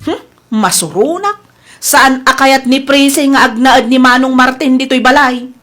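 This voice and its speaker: A meddling sister-in-law in a radio play, with a high voice and an insistent, coaxing manner. She pushes her plans and won't let anyone argue. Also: a little slow, a bit bright, faint music behind. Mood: scheming. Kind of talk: radio drama acting.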